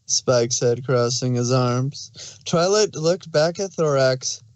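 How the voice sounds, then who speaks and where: A man's voice: medium pitch, slow, no echo. A man narrating a story aloud as a scripted fan fiction reading.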